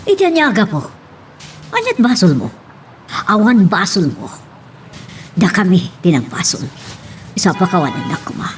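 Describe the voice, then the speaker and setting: A woman's voice: mid-range voice, big pitch swings, normal speed, pauses often, soft background music, lightly acted. A woman with an Ilocano accent playing a grieving, remorseful middle-aged mother in an audio melodrama, lamenting dramatically. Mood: guilty, pleading. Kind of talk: Ilocano radio-style melodrama acting.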